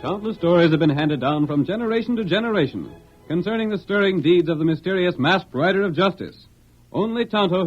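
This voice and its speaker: Man, 1940s radio announcer, scripted dramatic narration, slightly high pitch, emphatic, muffled old recording. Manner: theatrical, emphatic, formal.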